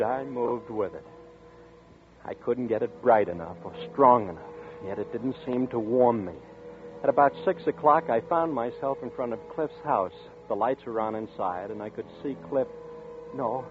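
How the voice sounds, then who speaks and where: A man's voice: medium pitch, narrow, tinny audio, close to an everyday voice. An actor playing a frightened young man who narrates and stammers nervously, in 1940s radio style. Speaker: narrator and lead. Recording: scratchy 1940s radio broadcast.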